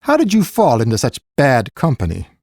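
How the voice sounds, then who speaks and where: An actor playing a roguish, teasing baron in an audiobook, with a lilting voice and a folksy way of talking. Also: male voice, medium pitch, wildly swooping pitch, fairly quick, a little mellow, slightly rough, dry recording, clean studio sound, full character voice. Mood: teasing, jovial. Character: roguish, teasing common-born baron, broad-shouldered grown man. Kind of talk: full-cast audiobook character acting.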